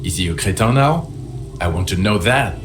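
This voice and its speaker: An actor playing an abrasive, cynical police detective who talks in blunt, sneering, profane lines for a video game, with music behind him. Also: male voice, low voice, expressive, moderate pace. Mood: dismissive. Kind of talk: dramatic video game dialogue.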